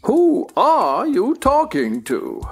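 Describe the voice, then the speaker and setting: A woman's voice: a little high, huge pitch swings, drawn-out words, husky, heavily acted. A woman playing a pompous, easily offended king in an audio play, huffy and fussy. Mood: haughty displeasure.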